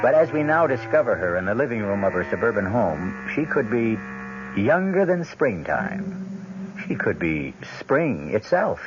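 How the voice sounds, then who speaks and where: A man's voice: lowish voice, very animated, measured pace, background noise. A man hosting a radio mystery show, introducing the story in a wry, dramatic storyteller's manner. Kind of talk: theatrical scripted introduction. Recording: dull vintage radio broadcast with music.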